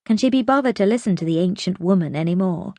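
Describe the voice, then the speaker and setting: A woman reading an audiobook as narrator, telling the story expressively in a measured, dramatic way. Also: mid-range voice, animated, mellow, no echo.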